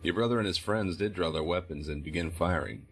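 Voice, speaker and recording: Deep, husky, weary police detective. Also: male voice, soft background music. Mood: insistent, weary. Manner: measured, firm questioning. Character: male police detective interrogator, firm, patient, weary. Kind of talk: naturalistic audio drama acting.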